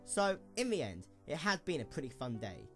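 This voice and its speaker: Animated male storyteller over soft music. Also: mid-range voice, normal speed. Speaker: narrator. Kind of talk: scripted story narration.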